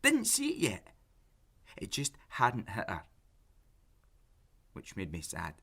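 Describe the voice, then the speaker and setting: An actor playing an obsessive, pleading, lonely lovesick man in an audio drama, halting and intense as he justifies himself. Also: medium pitch, huge pitch swings, bright, forceful tone, slightly rough, heavily acted. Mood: obsessive, agitated. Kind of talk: naturalistic audio drama acting.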